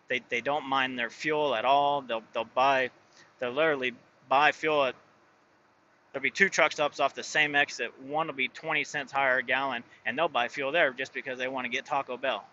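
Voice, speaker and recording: A man's voice: mid-range voice, fairly even intonation, moderate pace, sharp tone, muffled recording, a little hiss or hum. A man who drives his own truck, talking casually and rambling, full of fillers and restarts. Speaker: truck driver and owner operator. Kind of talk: casual vlog talk.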